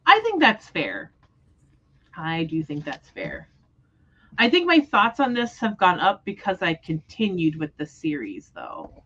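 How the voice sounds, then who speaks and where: A woman's voice: fairly low pitch, huge pitch swings, measured pace, a bit bright, slightly rough, lo-fi sound. A woman hosting a book readalong livestream, talking casually about books. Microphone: livestream microphone.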